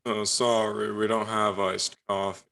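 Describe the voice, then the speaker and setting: A man playing a flat, unimpressed restaurant waiter in a sitcom table read. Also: on the low side, unhurried, vocal fry.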